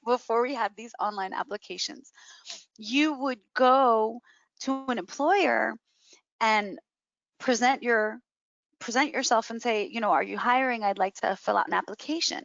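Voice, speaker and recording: A woman's voice: on the high side, gravelly, fairly bright tone, dry recording, dull audio. A woman giving explanatory career advice in a webinar, emphatic and stressing key words by repeating them. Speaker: career coach presenting a webinar.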